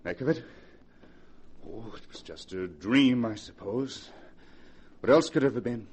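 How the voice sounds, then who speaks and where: A man's voice: medium pitch, lively intonation, a little slow, darkish tone, a little hiss or hum, close to an everyday voice. A man playing an obsessed, brooding husband entranced by a ghost, acted intensely in 1940s radio horror style. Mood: dreamy.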